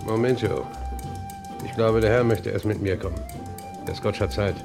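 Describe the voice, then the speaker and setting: An actor playing a blustering, nervous gangster henchman in a German crime radio play, gruff, gravelly and threatening. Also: lowish voice, fairly even intonation, moderate pace, over music.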